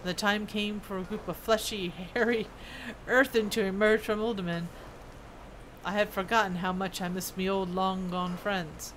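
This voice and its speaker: A woman's voice: mid-range voice, fairly even intonation, moderate pace, a bit bright, a little hiss or hum, natural voice, rough recording. A woman voicing a proud, folksy old male dwarf blacksmith from a fantasy game. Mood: reminiscing. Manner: folksy, proud, reading scripted dialogue.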